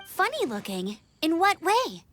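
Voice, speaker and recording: A woman's voice: extremely high pitch, wildly swooping pitch, a little slow, fairly bright tone, a little hiss or hum, heavily acted. A woman playing a plucky, eager young knight scout in a video game, perky, confident and earnest. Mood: curious, alert.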